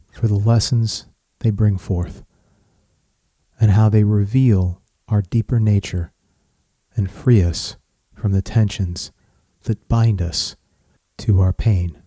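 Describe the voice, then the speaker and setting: A man leading a guided meditation in a measured, soothing manner, with pauses between short phrases. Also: fairly low pitch, warm tone, dry recording.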